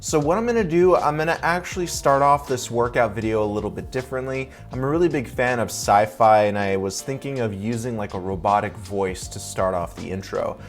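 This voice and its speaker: A man's voice: medium pitch, brisk, few pauses, soft background music. A young man hosting a sponsored video-editing tutorial, explaining each step in an upbeat, casual way. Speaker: video editor and tutorial host. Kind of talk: sponsored software tutorial walkthrough.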